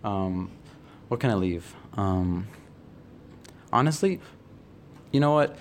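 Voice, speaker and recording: A young man in a reflective group conversation, speaking earnestly and haltingly, often repeating phrases as he works out his thoughts. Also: fairly low pitch, expressive. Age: young adult. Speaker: podcast guest, play cast member. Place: indoor room, group podcast recording. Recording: faint noise, room ambience.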